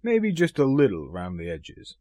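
Man acting animated, devoted easygoing fiancé. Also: mid-range voice, normal speed, dry recording. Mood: cheerful. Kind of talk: audio drama acting.

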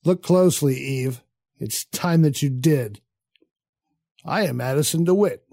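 A man acting in an audio drama as an urbane, sardonic theatre critic with a measured, condescending manner and quiet menace. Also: fairly high pitch, gravelly, no echo, studio quality. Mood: cold, commanding. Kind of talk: audio drama acting with first-person narration.

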